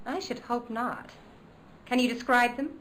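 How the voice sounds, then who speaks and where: An actress voicing a fussy, chatty hospital receptionist in a video game, prim and easily scandalized. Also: female voice, a little high, measured pace, fairly bright tone, slight background noise, poor audio.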